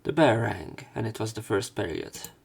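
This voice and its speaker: Low, creaky man narrating a visual novel. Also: expressive, moderate pace, loud music behind the voice. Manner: joking asides between read passages. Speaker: let's player reading and reacting. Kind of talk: read-aloud visual novel narration with casual commentary.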